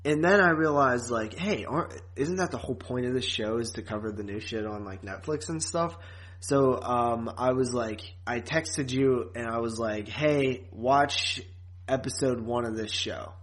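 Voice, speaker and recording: A man's voice: mid-range voice, normal speed, a bit bright. Casual joking man co-hosting a podcast. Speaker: podcast co-host. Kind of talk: casual review chat.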